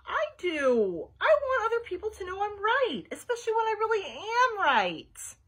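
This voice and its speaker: A woman voicing a stubborn, hot-tempered young girl, loud and emphatic, in a storybook read-aloud. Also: high voice, big pitch swings, fast talker, pitched above the performer's own voice. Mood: insistent.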